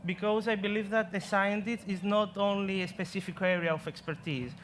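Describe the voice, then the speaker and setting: A man in his twenties from Greece, speaking English with a Greek accent, giving an earnest science talk in a competition, with halting phrasing and frequent restarts. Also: high-pitched, fairly even intonation, fairly bright tone, a little hiss or hum. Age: 20s. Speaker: science communication contestant.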